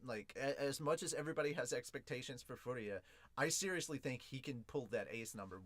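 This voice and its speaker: A man hosting a podcast in an excitable, informal, slangy way, chatting casually as he reviews and reads out comments. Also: mid-range voice, brisk, dry recording.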